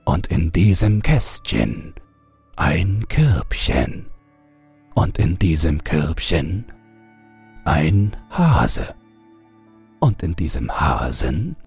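A man voicing a sly, sinister deathless old villain in a fairy tale, with a breathy, gravelly rasp and a slow, menacing delivery. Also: low-pitched, darkish tone, heavily acted.

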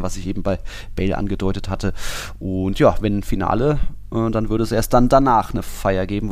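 A low-voiced man co-hosts a casual podcast. He explains in loose, rambling sentences. The sound is dry, with hardly any room. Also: darkish tone, no echo. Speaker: podcast co-host.

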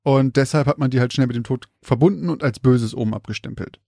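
Fast-talking man in dry, close sound. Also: medium pitch, vocal fry, mellow, no echo. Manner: colloquial storytelling. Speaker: podcast co-host. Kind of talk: casual podcast chat.

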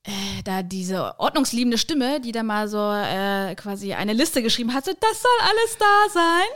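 A young woman co-hosting a podcast, talking casually and searching for words with frequent pauses. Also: on the high side, big pitch swings, a bit bright. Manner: hesitant, many fillers and restarts. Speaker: podcast co-host. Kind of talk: casual chat.

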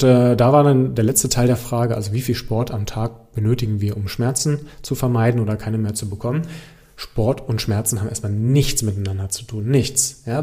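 A man's voice: mid-range voice, warm tone. A man working as a host and coach, answering audience questions in an informal, explanatory way, speaking directly to the listener with frequent little check-in tags. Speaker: host, pain and movement coach.

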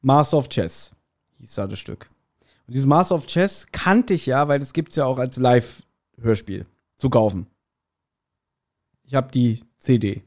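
A man's voice: medium pitch, expressive, unhurried, long pauses, darkish tone. A man co-hosting a podcast in casual banter, rambling in a playful, self-mocking way. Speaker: podcast co-host.

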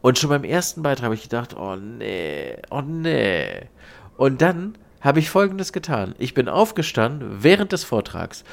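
A man with a German accent and a blocked nose, chatting and telling anecdotes casually as a podcast co-host, colloquial and quick to swear. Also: mid-range voice, sing-song, vocal fry. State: blocked nose from an oncoming cold.

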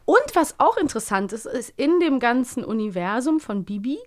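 A woman co-hosting a podcast, chatting casually and retelling things in an animated way. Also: on the high side, moderate pace. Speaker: podcast co-host. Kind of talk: casual chat about children's audio plays.

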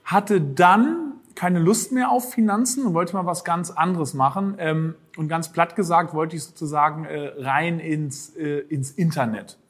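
A man from northern Germany, a podcast guest and founder, answering in a relaxed, rambling way with many fillers. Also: fairly high pitch, a little slow, few pauses, breathy edge. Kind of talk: conversational interview answers.

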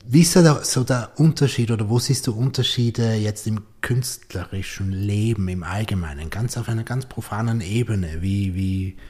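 A man's voice: on the low side, warm tone, breathy edge. A man hosting a podcast, chatting casually in long, enthusiastic, rambling turns. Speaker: podcast host. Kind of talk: casual interview conversation.

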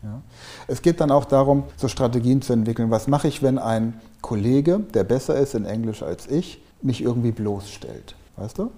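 Guy being interviewed, explains stuff, tells stories, kinda mellow dark voice. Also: mid-range voice, normal speed. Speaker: business language mentor, interview guest. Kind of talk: conversational interview.

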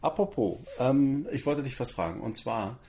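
A man's voice: mid-range voice, lively intonation, moderate pace, creaky, mellow. A man co-hosting a casual podcast, speaking in long flowing sentences with dry humor. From Germany. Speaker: podcast co-host. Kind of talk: casual chat.

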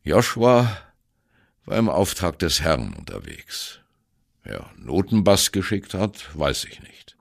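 An actor playing a wry, self-doubting ageing jazz drummer, telling his memories drily in a radio play monologue, with a gravelly, husky voice. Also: sits low, huge pitch swings, takes it slowly, full character voice. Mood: wry, admiring. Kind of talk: radio play monologue, first-person narration.

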